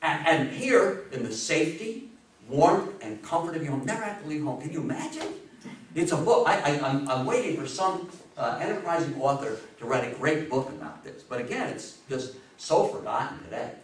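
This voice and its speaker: A man's voice: on the high side, animated, a little hiss or hum. Guy in his 50s doing an emphatic public talk, super echoey, mic way off across the room. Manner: theatrical, emphatic delivery with dramatic pauses and repetition. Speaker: lecturer. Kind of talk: animated public history talk to a live audience. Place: meeting room with a seated audience, indoors. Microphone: distant camera microphone. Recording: echoey room, recorded from far off.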